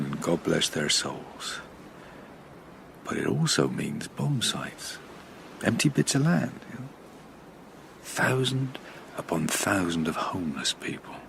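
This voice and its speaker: A man acting a roguish, expansive father and scheming charmer in a radio drama, rambling and avuncular, moving between hushed and hearty. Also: sits low, gravelly, noisy background, full character voice.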